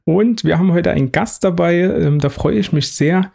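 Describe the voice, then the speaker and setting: A man hosts an IT podcast in a casual interview, stumbling over his words and trying again. His voice is dark and mellow, with a gravelly edge. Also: high-pitched, normal speed. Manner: hesitant, many fillers and restarts. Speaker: podcast host.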